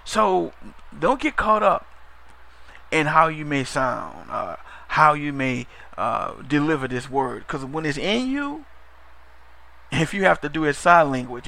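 Gravelly, bright-voiced preacher man. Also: a little high. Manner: emphatic, repeats phrases, call-and-response "Amen?"; stumbles over words, drifts into fast talk. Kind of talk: broadcast sermon.

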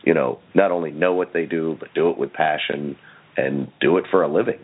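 A man hosting a podcast in a casual, halting, self-deprecating chat style. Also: very deep voice, steady tone. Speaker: podcast host. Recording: muffled phone-like sound.

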